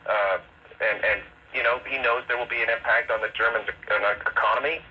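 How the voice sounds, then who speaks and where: An American man giving analysis as a radio interview guest, halting and repeating words as he explains, heard over AM radio. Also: mid-range voice, fairly even intonation. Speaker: guest national security analyst. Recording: dull, noisy AM radio reception.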